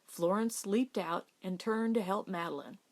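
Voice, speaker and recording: A woman narrates an audiobook of a novel. Her voice sits at a medium pitch. The delivery is scripted literary reading. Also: normal speed.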